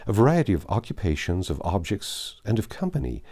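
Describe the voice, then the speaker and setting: A man with a low, mellow voice narrates a classic novel. His pitch moves widely as he reads, giving the scripted prose an expressive, literary delivery. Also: brisk. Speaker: audiobook narrator.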